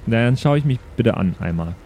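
A man's voice: medium pitch, animated, fairly quick, dark tone, slight background noise. A man, chatting casually as a player in an improvised tabletop game.

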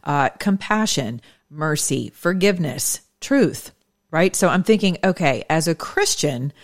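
A woman's voice: low-pitched, big pitch swings, a little slow. Hesitant, thoughtful woman interviewer. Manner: thoughtful, hesitant, many fillers. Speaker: interview host. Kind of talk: interview questions.

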